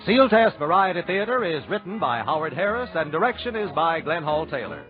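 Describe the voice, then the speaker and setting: A man's voice: fairly high pitch, sing-song, a little fast. A man working as an announcer, reading scripted commercials and credits in 1940s radio style. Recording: muffled 1940s radio broadcast, music.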